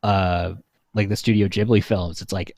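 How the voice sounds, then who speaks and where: A man's voice: deep voice, steady tone, normal speed, a little mellow, no room sound. A young man talking casually as a podcast panelist. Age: young adult. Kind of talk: casual chat.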